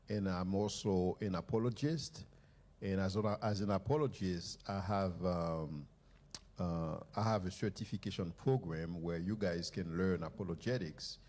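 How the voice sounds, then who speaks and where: Low husky guy, economist type, friendly intro at a church panel, bit hesitant. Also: darkish tone. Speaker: economist and apologist.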